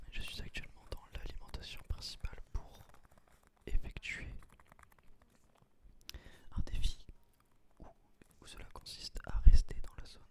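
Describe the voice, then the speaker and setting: A man whispering ASMR gameplay commentary in a breathy voice, explaining things casually with slang. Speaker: gaming video creator.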